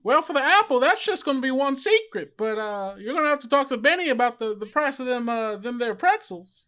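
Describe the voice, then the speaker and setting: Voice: high-pitched, big pitch swings, brisk, a bit bright, voice pushed up. A game master voicing a cheerful long-necked carnival vendor creature with folksy sales patter. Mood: chatty.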